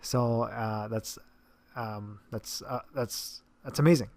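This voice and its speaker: A man in his role as a personal trainer speaks casually and earnestly, circling back with fillers and false starts. His voice sits at an ordinary middle pitch. The sound is dry and close, with no room around it. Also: slow pace, a little mellow, dry recording. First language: English. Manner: rambling, many fillers and restarts, earnest. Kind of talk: casual talk to camera.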